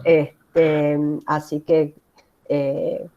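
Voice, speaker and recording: Slow, low-voiced woman on a muffled call. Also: flat delivery, mellow. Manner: unhurried, with many fillers. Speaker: oral surgery lecturer and webinar co-host. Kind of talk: thanking the audience on a webinar. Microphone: video call. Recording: dull lo-fi video call audio.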